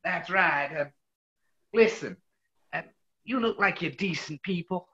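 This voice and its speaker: A woman's voice: on the low side, lively intonation, measured pace, a bit bright, muffled recording. A woman playing an earnest, cocky but nervy male tabloid reporter, hesitant yet insistent, in a 1940s radio comedy.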